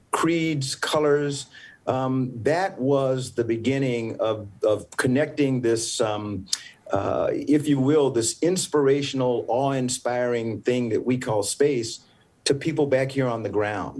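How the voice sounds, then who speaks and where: An older man from the American South speaks as a former astronaut on a festival panel. He explains things patiently and deliberately, often restarting his sentences as he works toward the point, in a voice of middle pitch. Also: a little mellow. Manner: measured, explanatory, with frequent restarts. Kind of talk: panel interview answer.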